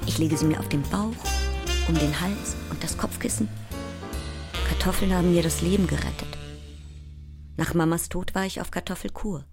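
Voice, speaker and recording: A woman's voice: on the low side, normal speed, long pauses, over music, close to an everyday voice. An actress playing a frazzled, feisty small woman in a theatrical stage monologue about her childhood. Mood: defensive, wry. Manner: theatrical, crisply alliterative, swinging between whisper and declamation. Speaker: stage actress performing a solo show. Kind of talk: theatrical monologue with bursts of song and wordplay.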